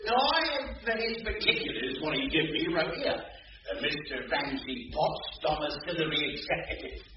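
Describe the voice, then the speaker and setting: An actor playing a pompous, mock-genteel gang boss, politely menacing, in a radio sitcom. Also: male voice, fairly high pitch, lively intonation, moderate pace, muffled recording, lightly acted. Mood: smoothly menacing. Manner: mock-genteel, wordy, malapropisms. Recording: echoey, distant, noisy old radio recording.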